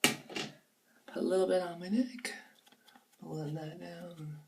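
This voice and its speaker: A woman's voice: on the low side, level tone, slow, vocal fry, fairly bright tone, a little hiss or hum. A woman with a groggy, sore-throated voice, chatting casually through a makeup review in her bathroom, rambling and sometimes dropping to near-whispers. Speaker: beauty vlogger. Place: home bathroom. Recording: voice a bit far from the mic.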